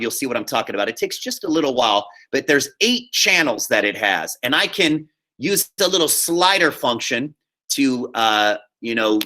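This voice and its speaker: A man teaching a high school class online, giving informal step-by-step instructions and often checking that students follow along. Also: pushed and bright. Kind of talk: live online class instruction, screen-share walkthrough.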